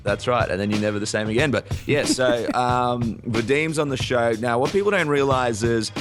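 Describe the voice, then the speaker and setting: Casting: male podcast co-host from Ukraine, mid-pitched, easygoing and chatty, with a music bed behind him. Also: normal speed. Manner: chatty, laughs often, promotes channels. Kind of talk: casual chat.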